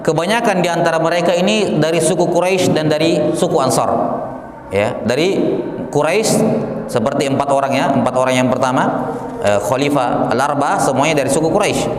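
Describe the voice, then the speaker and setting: Teacher in an echoey hall. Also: male voice, fairly high pitch, quick pace. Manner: explains patiently with fillers and repeats, mixes in Arabic phrases. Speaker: Islamic studies teacher. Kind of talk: religious lesson on a classical text. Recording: echoey hall with faint background noise.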